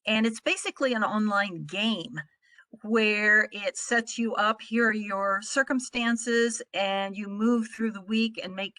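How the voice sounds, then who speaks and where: Bright-voiced American woman presenting. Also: medium pitch, unhurried, no echo, low-quality recording. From Midwestern United States. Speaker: trainer presenting on a conference call. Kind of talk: explanatory presentation.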